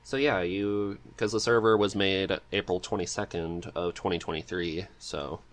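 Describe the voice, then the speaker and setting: A man's voice: sits low, fairly even intonation, normal speed. A man hosting a podcast, chatting casually and supportively with his guest. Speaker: podcast host. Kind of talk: casual interview chat.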